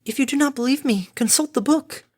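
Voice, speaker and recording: A timid female cartoon-style pony, acted out by a woman narrator. Her voice sits high, with formal, careful wording. She is prim and anxious, fretting as she speaks. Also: fairly quick, a little mellow, husky. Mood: flustered insistence. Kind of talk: animated audiobook character acting.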